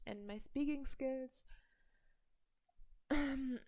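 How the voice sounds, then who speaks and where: A young woman from Germany with a German accent, speaking slowly and carefully to the camera in a personal language vlog. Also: high-pitched, a little mellow, no echo, studio quality. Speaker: language vlogger. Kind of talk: slow personal vlog talk.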